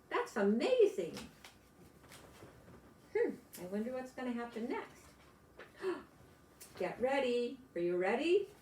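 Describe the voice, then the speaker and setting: An older grandmother reads a children's story aloud. Her delivery is slow and very animated, with exclamations aimed at young listeners. The room around her sounds echoey. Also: on the high side. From United States. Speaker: grandmother reading picture books aloud. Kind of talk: children's storybook read-aloud with chatty asides.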